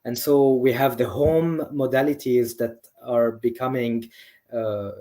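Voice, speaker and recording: Male doctor as interview guest, mid pitched voice, chatty answers, into his subject. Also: steady tone, unhurried, warm tone. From Lebanese family, grew up in several countries.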